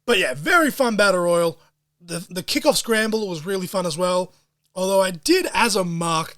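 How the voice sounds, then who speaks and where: A man hosting a solo podcast, talking in an excitable, profane way full of wrestling-fan slang. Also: sits high. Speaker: podcast host.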